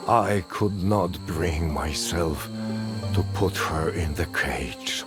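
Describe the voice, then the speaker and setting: An older actor playing a reclusive old sorcerer and grieving father in a game, speaking in a solemn, deliberate storytelling manner. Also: sits low, over loud music. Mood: sorrowful, brooding. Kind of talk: dramatic storytelling game dialogue.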